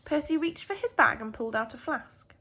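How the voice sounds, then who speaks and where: A woman's voice: sits high, expressive, fairly quick, sharp tone, slightly rough. A woman with a British accent, a primary school teacher telling a story expressively to young children.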